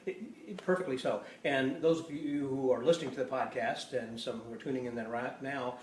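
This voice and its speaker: A man's voice: mid-range voice, fairly even intonation, brisk, darkish tone. A man in his sixties from the Midwest, chatting and laughing easily as he answers questions about his life. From Illinois, US Midwest. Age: 60s. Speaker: podcast host being interviewed. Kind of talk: casual chat. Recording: roomy live stream from a distance, faint noise.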